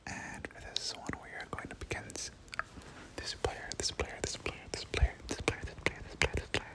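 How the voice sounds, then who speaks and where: A gaming creator giving ASMR game commentary in a breathy whisper, often repeating words in soft rhythmic runs. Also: moderate pace.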